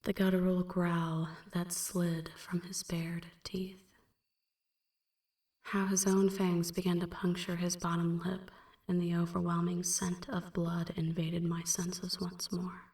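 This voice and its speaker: A woman playing a melancholy female vampire in an ASMR roleplay, recounting a dark past in a hushed, breathy, measured half-whisper. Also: lowish voice, monotone, echoey room, recorded from a distance. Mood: anguished, remorseful. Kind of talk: ASMR roleplay, slow dramatic first-person narration.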